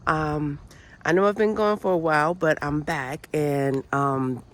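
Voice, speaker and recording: A woman's voice: deep voice, steady tone, a little slow. Woman, nasal from a cold, earnest, preachy, repetitive, vlog host. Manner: earnest and preachy, repeats phrases, many "you know"s. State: has a cold, sounds nasal. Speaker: channel host. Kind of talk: motivational spiritual vlog talk.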